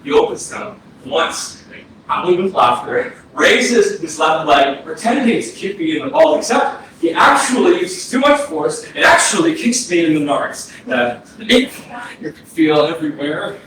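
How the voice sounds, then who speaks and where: A man playing the wistful ghost of a fourteen-year-old boy in a staged reading, halting and poetic with pauses. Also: fairly high pitch, big pitch swings. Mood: vivid recollection. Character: dead fourteen-year-old boy's ghost, dreamy, wistful would-be filmmaker. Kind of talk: staged reading of a play, monologue. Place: indoor arts center stage, recorded from afar. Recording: very echoey, distant hall, clear noise, processed.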